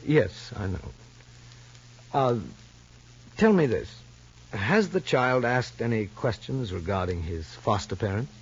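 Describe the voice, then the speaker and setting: An actor playing a formal child psychologist in a radio soap opera, probing gently and deliberately. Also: male voice, medium pitch, lively intonation, breathy edge, full character voice. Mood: calm, probing. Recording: muffled old radio recording.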